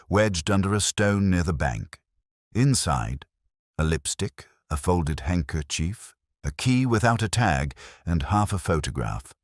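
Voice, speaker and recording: Very deep-voiced brooding detective played by a man. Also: no echo, studio quality, heavily acted. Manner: measured, reflective, melancholy. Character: brooding, literary male police detective narrating his case. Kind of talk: slow scripted first-person mystery narration.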